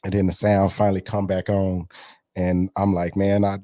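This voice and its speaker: A man in his forties telling stories in a relaxed interview, acting out what people said. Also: low voice, moderate pace, dry recording, clean studio sound. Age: 40s. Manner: animated storyteller, acts out quotes. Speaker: gospel artist guest. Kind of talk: casual interview storytelling.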